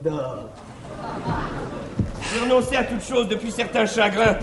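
A man's voice: extremely high pitch, measured pace. A man playing a wily, boastful valet in a classic French stage farce, theatrical and mock-modest, on an old theatre recording. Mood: boastful, mock-aggrieved. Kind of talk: classical stage comedy acting. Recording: noisy 1961 theatre recording with clicks.

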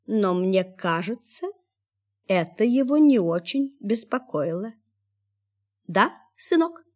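An actress playing an ageless, gentle android mother in a radio drama, speaking with wistful resignation. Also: medium pitch, lively intonation, takes it slowly. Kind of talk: Soviet radio drama acting.

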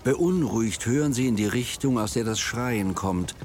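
A man narrating a radio drama in a measured, literary storytelling manner. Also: medium pitch, animated, a little mellow, a little hiss or hum.

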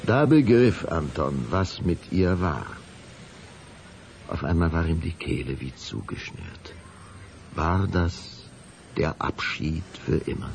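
A man's voice: low-pitched, sing-song, slow pace, vocal fry, a little mellow, a little hiss or hum. A man narrating a children's radio play as a scripted storyteller.

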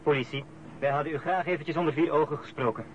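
An actor playing a quick, alert police sidekick in a radio drama. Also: male voice, fairly high pitch, dull audio, lightly acted. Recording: very noisy old radio play recording.

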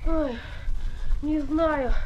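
A woman's voice: high voice, very slow, dull audio. An actress playing a grumbling, plaintive little boy in a children's radio play. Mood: fed up. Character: grumbling little boy, a playmate who soon gives up. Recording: noisy old 1970s flexi-disc record.

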